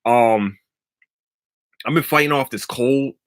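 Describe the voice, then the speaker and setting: A man hosting a solo podcast, talking in a rambling, profane and excitable way and laughing often, a little stuffy from allergies. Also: mid-range voice, takes it slowly, clean tone, no room sound, studio quality. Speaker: podcast host. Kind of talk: solo casual podcast talk.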